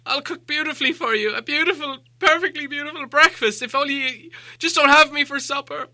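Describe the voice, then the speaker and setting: A man's voice: very high voice, fairly quick, pushed and bright, no room sound, pitched above the performer's own voice, full character voice. A man voicing a small, terrified hobbit in a storybook, stammering and breathlessly pleading.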